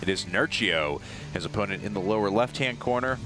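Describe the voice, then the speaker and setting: A man's voice: fairly low pitch, brisk, fairly bright tone. A man commentating a video game match live, sounding stuffed up from a cold, chatty and joking with lots of fillers. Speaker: esports caster.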